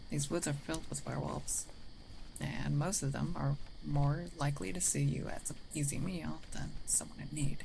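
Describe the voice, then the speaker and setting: A woman playing a gruff but caring, territorial werewolf in an intimate ASMR roleplay, hesitant and often dropping into breathy half-whispers. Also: low-pitched, steady tone, normal speed, dark tone, slight background noise, poor audio. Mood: reassuring. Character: gruff female werewolf, territorial yet caring, awkward protector.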